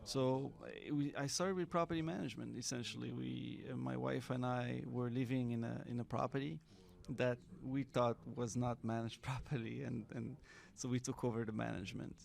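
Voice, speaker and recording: A man from Morocco, a guest entrepreneur talking casually in an interview, halting and repeating words, laughing often. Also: mid-range voice, fairly even intonation, gravelly, a little mellow. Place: Miami.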